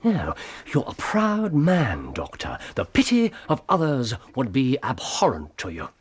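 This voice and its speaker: An English actor playing a brisk, eccentric, perceptive gentleman detective in a radio drama, abrupt and theatrical, muttering to himself. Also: medium pitch, wildly swooping pitch, breathy edge, full character voice. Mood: assertive, probing.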